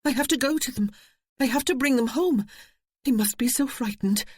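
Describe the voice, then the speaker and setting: Audiobook: narrator doing frantic young lady, high and strained. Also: normal speed, breathy edge, no echo, clean studio sound. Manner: shaking, pleading, high-strung. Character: grieving young gentlewoman, distraught.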